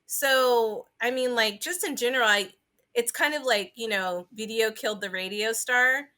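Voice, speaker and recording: A woman co-hosting a podcast in casual chat, halting and leaning often on filler words. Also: on the high side, sharp tone. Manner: halting, filler-heavy with frequent "like". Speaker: podcast co-host.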